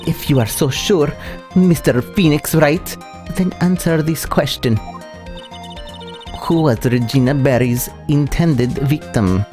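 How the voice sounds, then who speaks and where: An arrogant male prosecutor from a courtroom video game, as a man voices him. He speaks in a dark, mellow tone with theatrical disdain. His manner is condescending and insulting, with faint music behind. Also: on the high side, takes it slowly, pitched above the performer's own voice. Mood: scornful. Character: foppish, arrogant male prosecutor sneering at a rival lawyer. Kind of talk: amateur voicing of courtroom video game text.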